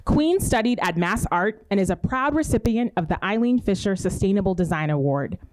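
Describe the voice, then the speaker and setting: A woman hosts an arts interview series, reading out sponsor thanks and a guest introduction. Her delivery is friendly, with a few hesitant fillers here and there. Her voice sits at a middle pitch. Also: lively intonation, a little fast, darkish tone, low-quality recording. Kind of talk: reading introductions and asking questions.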